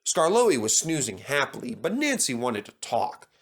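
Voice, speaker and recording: A man's voice: mid-range voice, huge pitch swings, normal speed. A man reading a scripted children's story as narrator.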